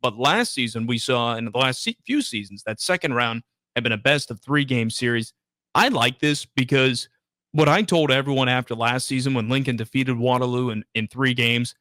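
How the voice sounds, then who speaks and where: A man's voice: mid-range voice, sharp tone, no echo. A man hosting a sports radio show in a conversational style, on a broadcast microphone in a studio.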